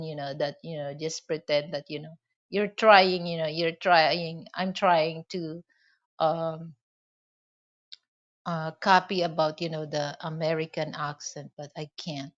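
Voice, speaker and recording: A woman's voice: sits low, steady tone, a little slow, muffled recording. A woman from the Philippines with a Filipino accent, a native Hiligaynon speaker, talking casually in a rambling vlog monologue full of "you know" fillers. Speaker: vlogger.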